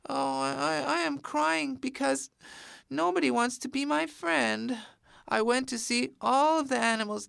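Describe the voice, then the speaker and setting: A woman's voice: high voice, gravelly, a bit bright, no room sound, voice pushed up, exaggerated voice. A woman voicing an eager, lonely young rabbit in a children's story, with a hesitant, childlike stammer.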